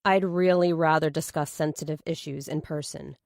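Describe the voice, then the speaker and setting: A woman's voice: deep voice, steady tone, moderate pace, vocal fry, darkish tone, no echo. A narrator plays a businesslike woman lawyer in an audiobook. She is guarded and pressing, evasive with answers, and sounds close and dry. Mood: urgent, evasive. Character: evasive, insistent, businesslike female lawyer on the phone.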